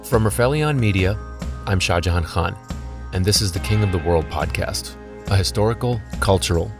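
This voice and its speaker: A man's voice: deep voice, animated, moderate pace, over music. A man in his thirties, a podcast host telling a personal story in scripted documentary narration.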